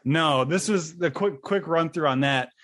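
A man's voice: a little high, a little slow, fairly bright tone, no echo, studio quality. A man from western New York hosting a casual interview, asking questions with lots of fillers and restarts. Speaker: podcast host.

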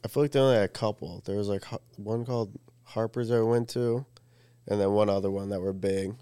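A man's voice: on the low side, steady tone, a little fast, warm tone, clean tone, dry recording, clean studio sound. A man in his twenties, co-hosting a podcast and telling stories in a laid-back way with lots of fillers. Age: 20s. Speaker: podcast co-host. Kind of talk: casual chat.

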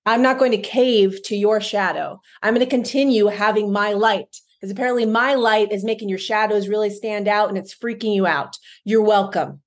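A woman co-hosting a podcast, talking in an animated, emphatic way and often laughing. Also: medium pitch, normal speed, few pauses. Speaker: podcast co-host. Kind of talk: casual podcast chat.

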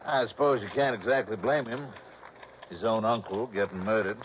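An easygoing police captain, played by a male actor, talks in a low, folksy, musing voice. It is 1960s radio acting, slightly noisy and muffled. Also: normal speed, close to an everyday voice. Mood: matter-of-fact. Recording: dull, noisy old radio recording.

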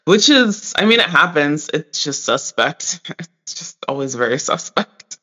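A man's voice: on the high side, sing-song, measured pace, bright, forceful tone. A man co-hosting a podcast in casual chat, laughing often and filling his talk with likes. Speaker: podcast co-host.

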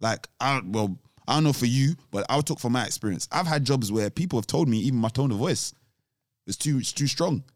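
A man's voice: medium pitch, expressive, a little fast, no room sound, clean studio sound. A young man co-hosting a podcast, talking casually and explaining his views at length with restarts. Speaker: podcast co-host. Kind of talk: casual chat.

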